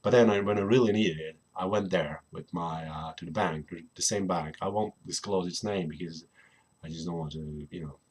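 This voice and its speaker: Husky low-voiced Slovenian man rambling. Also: moderate pace, a little mellow. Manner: rambling, repeats "you know what I mean". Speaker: vlogger. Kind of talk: casual personal chat to camera. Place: home room in England. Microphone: webcam.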